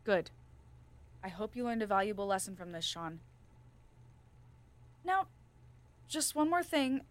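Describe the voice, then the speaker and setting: A woman playing a firm but gentle research scientist in an audio drama, speaking in a measured, formal interviewer's manner. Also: mid-range voice, very animated. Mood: kindly admonishing. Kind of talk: student audio drama acting.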